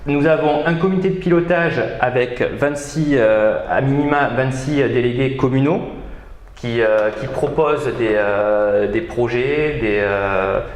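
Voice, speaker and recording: A man speaking as a local official, giving measured explanations in formal wording, with frequent hesitations. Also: medium pitch. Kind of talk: explanatory interview answers. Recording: roomy echo, faint background noise.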